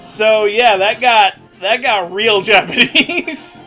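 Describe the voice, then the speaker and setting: A man's voice: high voice, slow, sharp tone, faint music behind. An American man reading fan fiction aloud mockingly and bantering casually, with a theatrical, sarcastic, profane manner.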